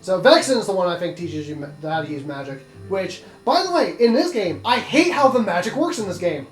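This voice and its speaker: A man with a rather high voice hosts a casual video game commentary. He explains in a rambling, chatty way, in an echoey room with faint music behind. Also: sing-song, fairly quick. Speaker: host of a video game let's play.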